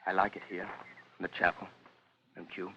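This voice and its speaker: An actor playing a troubled, awkward young man in an old-time radio drama, halting and earnest. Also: low-pitched, flat delivery, slight background noise. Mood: brooding, intent. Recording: dull old radio recording, loud music.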